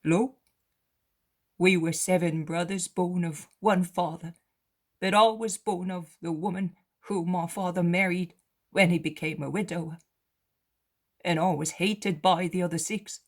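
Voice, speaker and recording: A man's voice: sits high, fairly even intonation, measured pace. A woman voicing a poor, humbled male beggar who pleads and repents. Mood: earnest. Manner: earnest, pleading.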